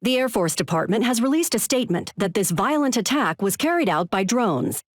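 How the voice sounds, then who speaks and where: An actress playing a grave, composed TV news anchor, reading breaking news in a formal, measured, urgent manner. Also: female voice, on the high side, lively intonation, dry recording, clean studio sound.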